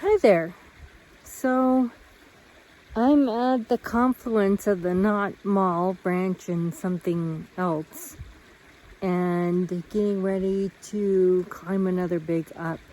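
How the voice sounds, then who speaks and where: Woman, trail vlogger, outdoors, handheld camera, unhurried rambling chat, medium pitch. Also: fairly even intonation, mellow, low-quality recording. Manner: rambling, thinking aloud. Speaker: thru-hiker vlogger. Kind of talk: casual trail vlog.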